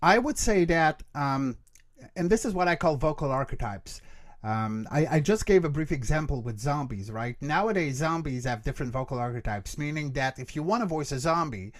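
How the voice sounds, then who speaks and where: Higher-voiced man explaining technique, close dry sound. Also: lively intonation, normal speed, dry recording. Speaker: creature voice actor, interview guest. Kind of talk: conversational explanation of vocal technique.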